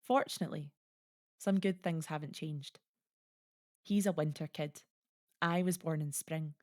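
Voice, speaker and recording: A reflective immigrant mother, acted by a woman, reminisces in a lyrical audio play monologue. Her voice sits a little low and is recorded very dry. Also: a little slow, a little mellow, no echo. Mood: fond, wistful. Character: reflective Estonian immigrant mother fond of winter.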